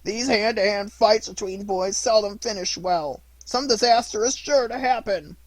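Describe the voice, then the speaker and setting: A reader voicing a hoarse old crab that scolds slowly, like a trumpet with a bad cold. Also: medium pitch, dry recording, pitched above the performer's own voice, natural voice, rough recording. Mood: scolding. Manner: slow, lecturing.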